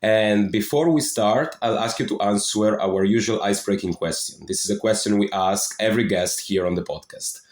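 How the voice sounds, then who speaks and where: Male podcast host, lowish voice, polite scripted delivery, roomy echo. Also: moderate pace, few pauses, darkish tone. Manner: reads a formal welcome, then asks questions politely. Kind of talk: scripted podcast introduction and interview.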